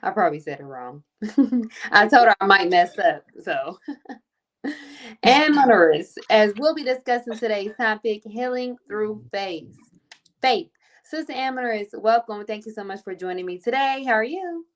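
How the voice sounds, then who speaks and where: A woman's voice: mid-range voice, lively intonation, moderate pace. Effusive woman hosting a faith podcast. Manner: effusive, repeats her welcomes and praise. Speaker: podcast host. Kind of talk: casual faith podcast chat.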